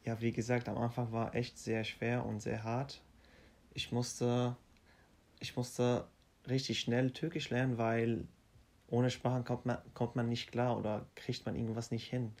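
Young man, mid-pitched, halting interviewee who restarts his sentences. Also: flat delivery, a little mellow. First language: Arabic. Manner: halting, restarts often, simple phrasing. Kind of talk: casual interview.

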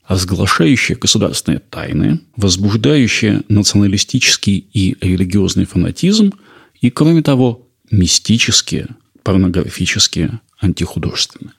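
A man narrates a history lesson in a calm, measured, explanatory manner. His voice sits low and has a rough, gravelly grain. It also carries a husky edge. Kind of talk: scripted narration.

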